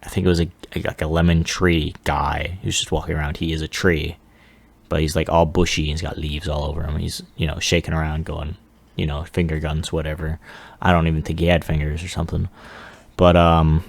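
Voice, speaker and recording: A man giving a casual, unscripted software walkthrough in a halting, offhand way with mumbled asides. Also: extremely low pitch, level tone, gravelly. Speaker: 3D animation hobbyist presenting a demo.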